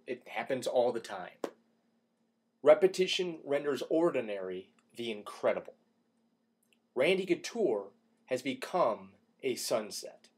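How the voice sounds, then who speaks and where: A man giving a dramatic, emphatic reading of an opinion column, shifting between hushed lines and punchy rhetorical questions. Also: medium pitch, slightly rough. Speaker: radio show host reading an opinion column.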